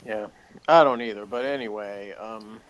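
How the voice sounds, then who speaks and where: A man co-hosting a casual review chat, thinking aloud haltingly with dry humor. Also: on the low side, slight background noise, low-quality recording. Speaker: co-host giving review opinions.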